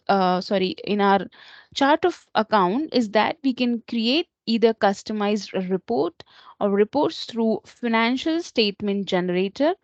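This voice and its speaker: A woman presenting an instructional slide lesson as a software trainer, explaining in a slightly halting way. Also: on the high side, dry recording. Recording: dull lo-fi close recording.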